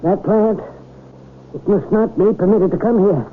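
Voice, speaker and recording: An actor playing an earnest, alarmed former research chief giving insistent, ominous warnings in an old-time radio drama. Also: male voice, high voice, measured pace, a little hiss or hum. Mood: grave, insistent. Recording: muffled old radio broadcast recording.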